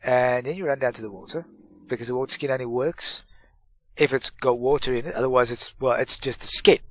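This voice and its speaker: A man's voice: mid-range voice, fairly quick, fairly bright tone, no echo. A middle-aged man with a stuffy cold, hosting a casual gameplay tutorial and chatting through it with self-mocking asides. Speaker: gaming channel host.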